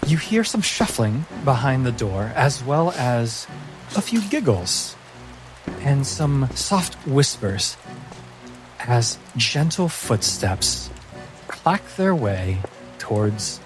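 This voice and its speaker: Slow-paced man narrating a tabletop game. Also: medium pitch, very animated, music in the background, low-quality recording. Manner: measured, descriptive, storytelling. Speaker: game master.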